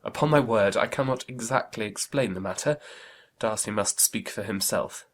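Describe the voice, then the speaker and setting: An actor playing an amiable, impulsive young gentleman with exclamatory, formal speech in a period audiobook drama. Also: fairly low pitch, normal speed, close to an everyday voice. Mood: protesting. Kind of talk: dramatised classic novel audiobook.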